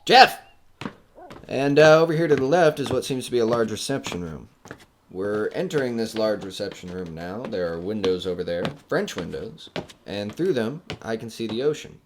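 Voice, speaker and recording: A man's voice: lowish voice, lively intonation, normal speed, background noise, lightly acted. A man acting in an audio horror drama as a skeptical, wisecracking radio man narrating a ghost hunt in a casual, hesitant way. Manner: casual, flippant, hesitant filler-strewn delivery. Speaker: host of a ghost hunt recording.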